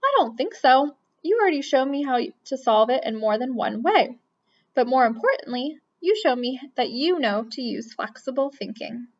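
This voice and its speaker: A woman as a calm, praising schoolteacher. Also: high voice, normal speed. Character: kind calm female schoolteacher. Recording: dull lo-fi recording.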